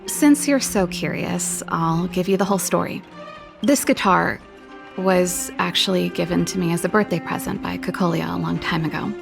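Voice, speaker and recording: A young, spirited big sister from a video game, an inventor and musician. Her voice sits a little low with a gravelly edge. She is teasing and expressive, with a wistful streak, over soft background music. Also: moderate pace. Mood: nostalgic. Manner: breezy, expressive, with sighs and trailing reflection.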